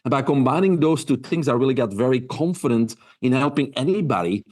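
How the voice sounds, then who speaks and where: A man's voice: medium pitch, expressive, fairly quick, darkish tone. A man in his fifties from Belgium with a Belgian accent, giving earnest interview answers full of fillers and restarts. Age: 50s. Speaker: guest.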